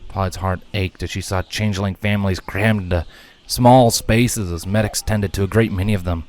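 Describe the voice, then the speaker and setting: A man's voice: deep voice, fairly even intonation, moderate pace, a little mellow. A man reading scripted narration for a fantasy fan fiction story.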